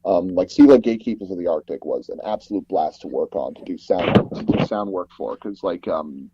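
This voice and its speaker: A man's voice: low voice, fairly quick, mellow, slightly rough, no room sound, muffled recording. A man being interviewed, talking casually in a hesitant, rambling and self-deprecating way. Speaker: interview guest, audio drama producer.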